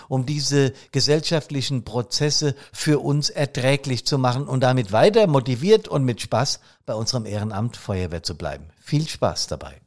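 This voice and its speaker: A man, hosting a podcast in a casual, friendly way with frequent fillers. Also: medium pitch. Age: 60s. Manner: chatty, warm-hearted, with frequent fillers. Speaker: podcast host and fire service trainer. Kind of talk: casual podcast talk and live session.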